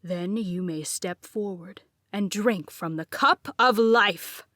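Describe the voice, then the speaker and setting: A woman's voice: mid-range voice, slow, bright, forceful tone, no room sound. A woman voicing a young man who fakes a commanding ceremonial voice, pompous and formal, in a dry, close recording. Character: young man faking a booming ritual voice.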